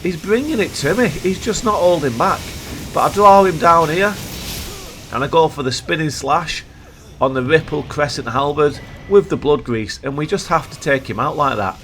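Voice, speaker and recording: A man with a croaky voice from a cold, giving playful, jokey commentary over gameplay as a video host. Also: on the high side, animated, normal speed, fairly bright tone, a little hiss or hum.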